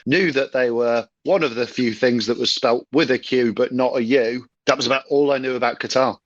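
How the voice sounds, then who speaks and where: A man's voice: mid-range voice, fairly even intonation, brisk, dry recording. A man co-hosting a casual football podcast over his phone, full of self-deprecating, jokey asides. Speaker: podcast co-host. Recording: dull phone recording.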